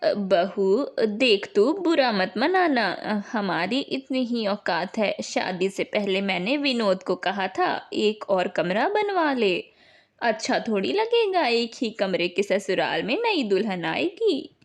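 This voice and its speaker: A woman's voice: medium pitch, big pitch swings, slow pace, full character voice. A woman voicing an older, self-blaming, poor mother-in-law in a family story, apologetic and worried in a hesitant way. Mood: apologetic, regretful.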